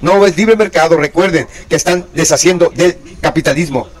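A man's voice: a little high, a little fast. A man in his thirties preaching and commenting on news, emphatic, repeating key words and asking rhetorical questions, recorded indoors facing a camera. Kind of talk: religious commentary reading news. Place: indoor room with pale wall. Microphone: fixed camera, mid shot.

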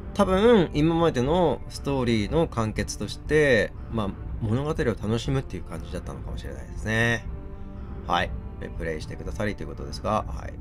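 A man doing casual game commentary, musing aloud to himself as he plays. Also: medium pitch. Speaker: game streamer reading all parts aloud.

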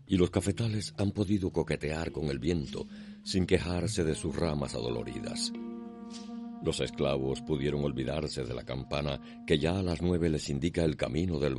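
A man. His delivery is solemn, measured and poetic, with faint music behind him. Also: rumbling low, a little mellow. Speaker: narrator. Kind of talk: scripted radio soap narration.

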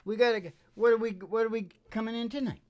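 A middle-aged man hosting a music show with playful, unhurried, rambling stage patter full of joking asides. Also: high-pitched, fairly even intonation, dull audio. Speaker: host of a music and comedy show. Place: small dim curtained stage room. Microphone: stand microphone. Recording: guitar playing behind the voice. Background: acoustic guitar.

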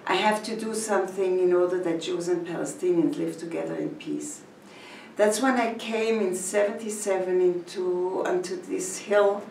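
Older woman, gravelly and roomy. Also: fairly low pitch, darkish tone, a little hiss or hum. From Switzerland. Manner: measured, phrase by phrase, with pauses. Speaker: community educator. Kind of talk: talking to camera about her village. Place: indoor room in front of a painted mural. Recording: echoey room, mic at a distance.

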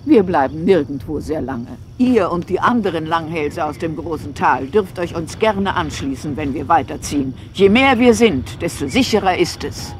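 A man's voice: on the high side, expressive, normal speed, few pauses, slight background noise, close to an everyday voice. A man voicing a grave, weary old female dinosaur who leads a herd, in a children's cartoon audio drama.

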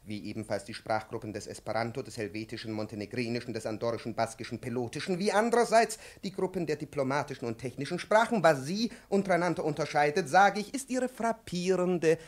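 An actor playing a pompous, excitable, domineering professor lecturing a pupil in a 1950s radio play, pedantic and declamatory. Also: male voice, fairly high pitch, quick pace, hardly any pauses, lightly acted. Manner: pedantic, declamatory, swinging from hushed to shouting.

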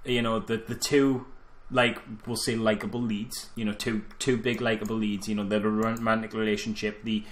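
A man co-hosting a film podcast, arguing his opinions casually in a halting, repetitive way. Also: lowish voice, flat delivery. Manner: halting, repeating phrases as he argues opinions. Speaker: podcast co-host. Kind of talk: casual film critique chat.

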